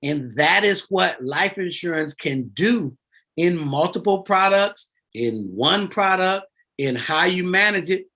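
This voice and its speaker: A man in his fifties, a guest on a podcast, explaining finance in an animated, emphatic way and repeating his key words. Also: high-pitched, slow pace. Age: 50s. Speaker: guest, insurance and debt author.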